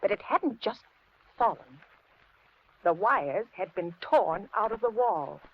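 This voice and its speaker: An actress playing a frightened, kindly middle-aged housewife in a 1950s radio suspense drama, with an anxious, hesitant, dramatic delivery. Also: female voice, medium pitch, lively intonation, slow pace, slightly rough, heavily acted. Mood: tense narration. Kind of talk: 1950s radio suspense acting and first-person narration. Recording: muffled, noisy 1950s radio recording.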